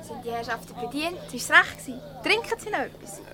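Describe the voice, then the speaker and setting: High-voiced waitress. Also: female voice, expressive, fast, pushed and bright, exaggerated voice. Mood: obliging. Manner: polite, service-like. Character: obliging young waitress. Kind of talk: radio comedy play acting.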